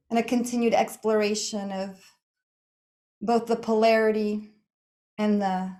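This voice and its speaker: A woman with a medium-pitched voice guides a relaxation practice. She speaks slowly and soothingly, setting down short phrases one at a time. Pauses sit between them, giving the narration an unhurried feel. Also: darkish tone. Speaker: yoga nidra meditation guide.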